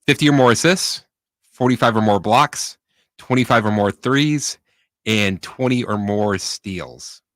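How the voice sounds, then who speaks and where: A man's voice: on the low side, unhurried, dry recording. An American man co-hosting a sports talk show, casually reading out stats and chatting. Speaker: sports radio co-host.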